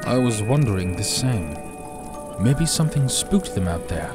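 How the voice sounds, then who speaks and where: A man's voice: lowish voice, big pitch swings, unhurried. Guy as young troubled knight, earnest, voice moves up and down a lot, fantasy audio drama, music behind. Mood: puzzled, alert.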